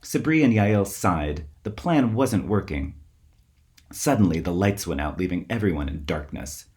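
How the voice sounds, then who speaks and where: A man reading a children's story aloud as its author, with expressive, theatrical emphasis. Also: deep voice, a little slow. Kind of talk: scripted storybook narration for children.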